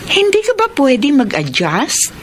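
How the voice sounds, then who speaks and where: A woman's voice: high voice, wildly swooping pitch, unhurried, a little hiss or hum, heavily acted. A woman with a Filipino accent acting a sensible, chiding older sister who gives advice in a radio drama. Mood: advising. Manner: chiding, matter-of-fact.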